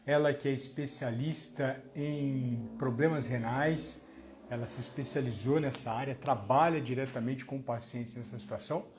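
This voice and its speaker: A man's voice: medium pitch, steady tone, normal speed, darkish tone, a little hiss or hum. A man, hosting a casual live chat in a friendly, chatty way. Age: 40s. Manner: friendly, chatty, laughs easily. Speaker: host.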